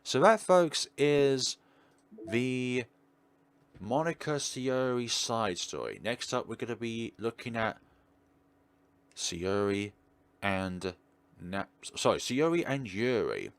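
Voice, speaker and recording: A man giving casual playthrough commentary as a gaming video host, thinking aloud with questions and trailing pauses. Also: mid-range voice, animated, clear, resonant tone. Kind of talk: casual playthrough commentary, partly reading on-screen text aloud.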